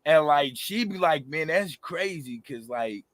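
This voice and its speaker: A raspy-voiced man in his thirties, a rapper talking animatedly and passionately in a casual interview, full of slang and fillers. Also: on the high side, animated, unhurried, fairly bright tone, dry recording.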